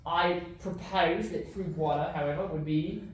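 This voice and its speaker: A man's voice: fairly high pitch, steady tone, normal speed, recorded from a distance, close to an everyday voice. A man voicing an eccentric, pompous debater with an invented, loosely New Zealand non-rhotic accent and merged vowels, in improvised comedy. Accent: invented, loosely based on New Zealand. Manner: pompous, pedantic word choice. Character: eccentric pedantic man, chaotic, weird, grandly verbose debater. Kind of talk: improvised comic debate in character. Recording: very echoey room, off-mic, faint noise.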